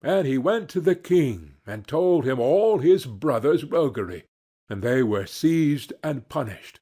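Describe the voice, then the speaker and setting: A man narrating a fairy tale from a script in a measured, deliberate storytelling manner. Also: mid-range voice, animated, dark tone, husky.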